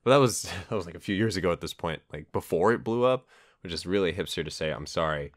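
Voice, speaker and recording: A man's voice: low-pitched, lively intonation, quick pace, no echo. A man hosting a casual podcast conversation, a little hesitant in phrasing, with dry joking asides. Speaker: podcast host.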